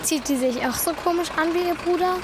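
A very high-voiced schoolgirl talks in a children's radio play. She is the cheeky best friend, blunt and always prying. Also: a little fast, background noise. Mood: nosy.

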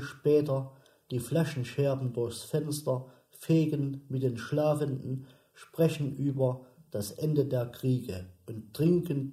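Echoey recording of a guy reciting literary stuff, super dark mellow voice, slow n measured. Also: medium pitch. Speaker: reader in a radio sound composition. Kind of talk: literary recitation of poetic prose. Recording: echoey, reverberant room sound.

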